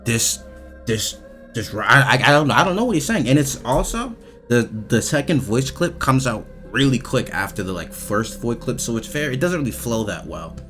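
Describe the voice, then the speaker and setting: A man giving casual, off-the-cuff commentary as he reviews game voice lines, talking in a halting, repetitive, slangy way with some swearing. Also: medium pitch, very animated, a bit bright, soft background music.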